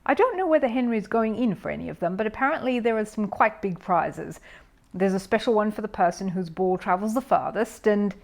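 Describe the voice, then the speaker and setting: A woman's voice: mid-range voice, lively intonation, brisk, gravelly. A woman voicing a practical housewife in a children's storybook, conversational and explanatory. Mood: explaining. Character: practical, chatty middle-class housewife. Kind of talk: children's storybook character reading.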